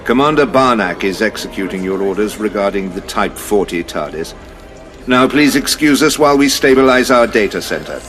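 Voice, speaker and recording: A man's voice: fairly low pitch, lively intonation, normal speed, fairly bright tone. An actor playing a polite, composed male general who speaks in a formal manner. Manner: formal, courteous. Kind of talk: audio drama acting.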